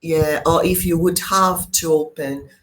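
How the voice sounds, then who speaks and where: A woman's voice: deep voice, fairly even intonation, a bit bright, low-quality recording. A woman from Brazil, a Portuguese speaker, chatting patiently as a language teacher on a video call. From Brazil, Rio de Janeiro. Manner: slow, patient, laughs often. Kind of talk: casual discussion, teaching words.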